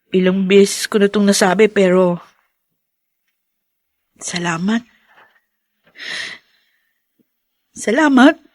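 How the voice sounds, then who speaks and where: A woman playing an affectionate, playful young daughter in a radio drama, with sweet, expressive delivery. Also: mid-range voice, no echo, studio quality. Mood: grateful, tender. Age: young adult.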